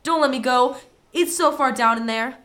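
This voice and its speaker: A woman playing an eager, stubborn, excitable young boy in a radio play. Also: high-pitched, moderate pace, background noise, natural voice. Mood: terrified.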